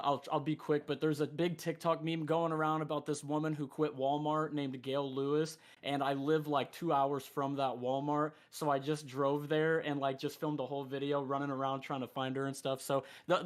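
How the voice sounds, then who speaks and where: A man's voice: medium pitch, fairly even intonation, moderate pace, few pauses. A man, a voice actor, chatting in a rambling, joking way about his hobbies. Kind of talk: casual chat.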